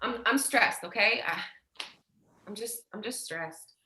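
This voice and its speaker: A woman's voice: mid-range voice, a little slow, bright, forceful tone. A woman playing a stressed, defensive, foul-mouthed sister in a staged play reading, emotional and confrontational. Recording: echoey room.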